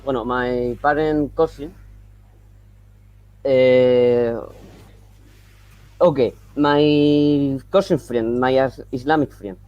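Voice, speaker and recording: Colombian-accented caller, halting English, slow and dark-toned. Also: male voice, mid-range voice, fairly even intonation, clean tone, no room sound, highs cut off. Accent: Colombian Spanish. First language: Spanish. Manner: halting, searching for English words. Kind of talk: call-in discussion. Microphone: video call.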